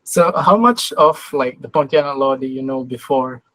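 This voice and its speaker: A man's voice: medium pitch, normal speed, a bit bright, no echo, low-quality recording. A man hosting a podcast, asking casual, loosely phrased interview questions.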